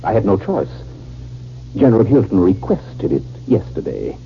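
An actor playing a nervous, formal army surgeon in a 1950s radio drama. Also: male voice, fairly low pitch, huge pitch swings, normal speed, vocal fry, slight background noise, full character voice. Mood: anxious. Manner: anxious, formal, emphatic repetition. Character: nervous male army surgeon, secretly an enemy agent. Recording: muffled, band-limited 1950s radio recording.

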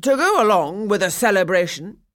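A woman's voice: on the high side, big pitch swings, normal speed, voice pushed up. A woman voicing a self-important, big-bellied male storybook mayor making pompous proclamations. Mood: proclaiming.

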